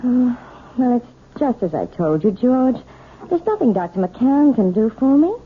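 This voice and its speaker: An actress playing a timid, nervous wife who hesitates and stammers, in an old radio drama. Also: female voice, a little high, very animated, measured pace, breathy edge, heavily acted. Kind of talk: 1970s radio drama acting. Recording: lo-fi archival radio broadcast.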